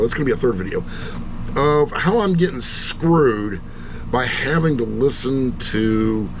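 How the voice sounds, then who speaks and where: A middle-aged or older man giving a casual, rambling review in a wry, self-deprecating way, as the host of his own channel. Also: mid-range voice. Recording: steady loud air-conditioner-like background hum.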